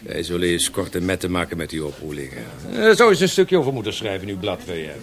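An actor playing a pompous, reactionary provincial gentleman in a radio drama, declaiming scornfully. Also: deep voice, very animated, fast talker, a crowd in the background. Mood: rousing, jovial.

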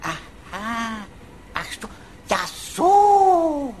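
A woman's voice: on the high side, sing-song, drawn-out words, background noise, full character voice. A woman playing a cheeky, whiny pocket computer sidekick with flippant, mock-solemn delivery in a German radio drama.

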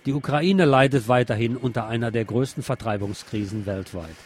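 A man's voice: mid-range voice, brisk, slight background noise. A man reading scripted news narration and commentary as a presenter.

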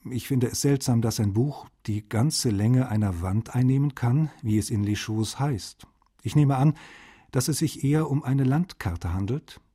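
An actor playing a courteous, meticulous male translator, reading formal letters aloud in a precise, polite, slightly husky voice for a radio feature. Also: medium pitch, measured pace, dark tone.

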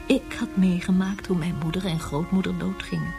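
An actress in a radio drama playing a dreamy, much-rejuvenated mother who muses tenderly, sometimes sinking into a hush. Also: lowish voice, little pitch movement, moderate pace, gravelly, over music. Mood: wistful, elated. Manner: tender, musing, drifting into hushed whispers.

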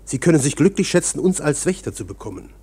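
Sly, high-pitched, swooping guard. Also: male voice, sing-song, quick pace, dark tone, breathy edge. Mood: falsely soothing. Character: mocking, smugly polite arresting guard. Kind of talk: German radio drama acting.

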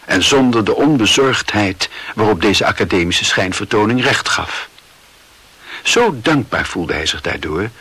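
A wry narrator of a literary radio drama. His low voice has a rough grain and a bright, forceful edge as he reads scripted prose. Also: exaggerated voice.

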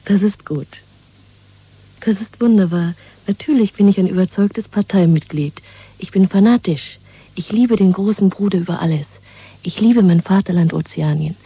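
A woman's voice: medium pitch, moderate pace, warm tone, breathy edge. An actress in a German radio drama playing a bold, secretive young woman in love, half-whispering in a cool, intimate, knowing way. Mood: cool, teasing.

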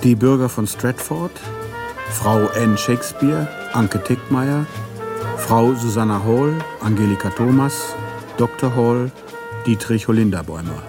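A man's voice: mid-range voice, unhurried, over music. A man announcing a radio play and its cast in a formal, scripted style.

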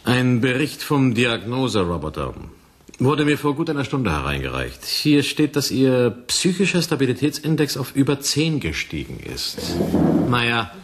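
An actor playing a brusque, domineering military major in a radio play, with a rough, husky voice and a curt, sarcastic manner. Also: male voice, mid-range voice, sing-song, moderate pace, a little hiss or hum, close to an everyday voice. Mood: officious. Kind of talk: 1980s German radio play acting.

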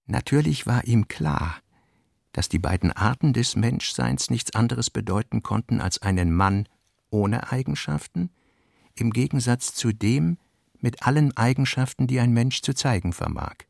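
A man's voice: medium pitch, lively intonation, unhurried, creaky, a little mellow, dry recording, studio quality. A man reading literary narration and diary notes for a radio play.

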